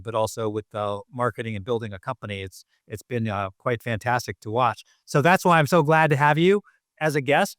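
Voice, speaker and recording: Enthusiastic male host, clean resonant tone, chatty and warm-up style. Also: mid-range voice, smooth, dry recording, clean studio sound. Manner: enthusiastic, conversational, uses "you know". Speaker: podcast host. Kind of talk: casual interview hosting.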